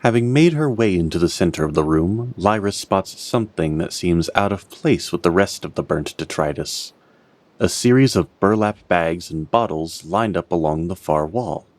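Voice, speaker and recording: A man narrating a scripted fantasy story in a measured, literary way. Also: low voice, expressive, darkish tone.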